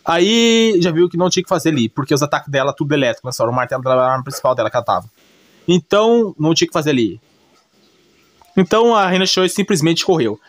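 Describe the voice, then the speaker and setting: A man's voice: a little high, lively intonation, fast talker, no room sound. A man with a Brazilian accent giving casual, unscripted story commentary in a colloquial, repetitive way, often adding little check-in tags. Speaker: video creator narrating an anime recap.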